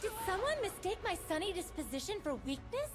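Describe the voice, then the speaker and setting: High-voiced young sorceress. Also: female voice, sing-song, fairly quick, over music. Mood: sassy, confident. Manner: theatrical, clipped one-liners. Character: young female elemental mage, poised, teasing, shifting dreamy to sinister. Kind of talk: video game character voicelines.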